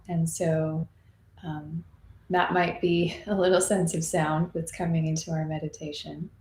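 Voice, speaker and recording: Female meditation teacher, soothing and slow-paced, leaving long gaps, recorded in a roomy space. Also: fairly low pitch, a little mellow. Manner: soothing, unhurried, with long pauses. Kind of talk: guided meditation, gently spoken and unscripted. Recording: roomy echo, faint background noise.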